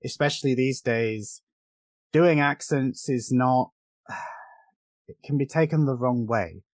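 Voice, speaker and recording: A man's voice: mid-range voice, slow pace, darkish tone, dry recording. A man co-hosting a casual film discussion, explaining his opinions at length in an informal way. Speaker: podcast co-host.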